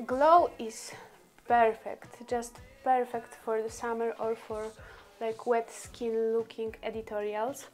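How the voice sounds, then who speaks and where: A woman's voice: fairly high pitch, fairly even intonation, takes it slowly, soft background music. A woman whose first language is Polish, chatting through a makeup tutorial with many fillers and laughs. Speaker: beauty vlogger.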